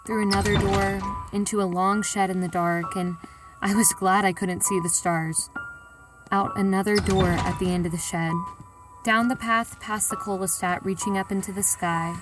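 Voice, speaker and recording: A woman playing a frightened young woman who recounts an eerie ordeal in a radio horror drama, hushed with dread and anxious. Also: mid-range voice, lightly acted.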